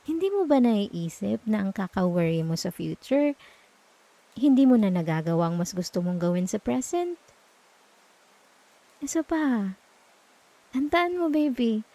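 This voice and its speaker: A woman with a Filipino accent playing a gentle, reassuring girlfriend in an intimate ASMR roleplay, speaking softly and tenderly close to the microphone. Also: on the high side, no echo, heavily acted, rough recording. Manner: soft, tender, coaxing, with murmured hums. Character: gentle, reassuring young girlfriend comforting her partner at night. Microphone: close microphone, dry.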